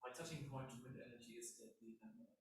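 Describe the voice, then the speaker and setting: A man's voice: on the high side, very animated, quick pace, vocal fry. A man from Germany speaking hesitantly at a workshop. He is far off the mic in an echoey room, with a faint hiss. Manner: hesitant, filler-heavy. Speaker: workshop participant. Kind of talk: casual self-introduction.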